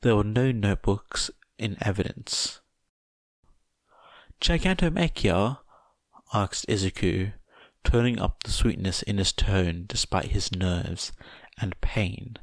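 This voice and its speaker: A man's voice: fairly low pitch, steady tone, a little slow, vocal fry, no room sound. A man narrating a fiction story from a script in audiobook style.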